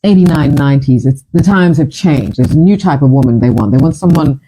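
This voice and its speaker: A woman's voice: low voice, brisk, mellow, a little hiss or hum, low-quality recording. A woman from Fiji in her fifties, hosting a casual chat and telling her stories in a chatty, dramatic, self-mocking way. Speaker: host.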